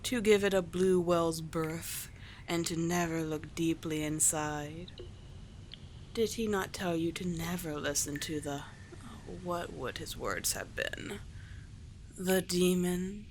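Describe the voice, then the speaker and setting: A woman voicing a calm, teasing sea witch with soothing, formal endearments in a scripted ASMR roleplay. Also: fairly low pitch, a little hiss or hum. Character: calm, courtly, teasing sea witch, gentle yet slightly monstrous.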